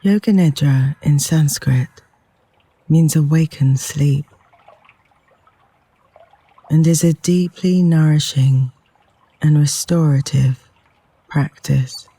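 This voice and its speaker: Very mellow, slow man guiding meditation. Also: a little high, slightly rough, no room sound. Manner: gentle, soothing, unhurried with long pauses. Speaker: guided meditation teacher. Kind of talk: guided yoga nidra meditation. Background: flowing river water sounds.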